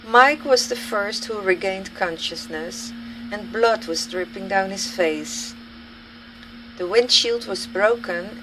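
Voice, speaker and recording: Female narrator for a story recording; unhurried, deliberate read, noisy backdrop of effects. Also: mid-range voice. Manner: slow, measured read-aloud delivery. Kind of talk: scripted audiobook-style story narration. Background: car and vehicle sound effects, ambience.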